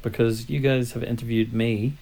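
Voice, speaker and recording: A man's voice: medium pitch, level tone, normal speed, gravelly, mellow. A chatty man, in a mid-range voice, co-hosts a podcast. He talks bluntly and casually as he puts questions to the others. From Northern Ireland. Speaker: podcast co-host. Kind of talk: casual chat, interviewing co-hosts.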